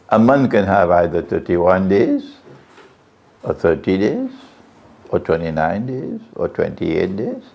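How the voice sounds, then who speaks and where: A gravelly-voiced male preacher lectures slowly and deliberately, his pitch rising and falling with lively swings. He puts questions to the crowd and hammers his key phrases home. The recording sounds dull and lo-fi. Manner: rhetorical questions to the audience, repeats key phrases. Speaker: Islamic preacher. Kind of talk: religious lecture to a live audience. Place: lecture venue.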